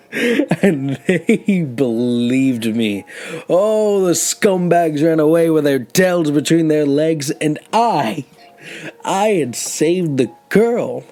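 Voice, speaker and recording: An actor playing an older, humble, grateful man who tells his story in halting phrases in an audio drama. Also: on the high side, darkish tone. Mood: proud reminiscing. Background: music.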